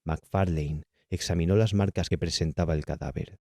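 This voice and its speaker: Male audiobook narrator, Castilian accent: low, dark tone, measured scripted delivery for gothic horror. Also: steady tone, gravelly, dry recording, studio quality. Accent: Castilian Spanish. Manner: measured, enunciated storytelling.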